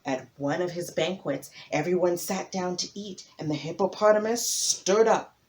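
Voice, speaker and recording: A woman narrating a folktale as a storyteller, with theatrical emphasis and dramatic pauses. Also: on the low side, darkish tone.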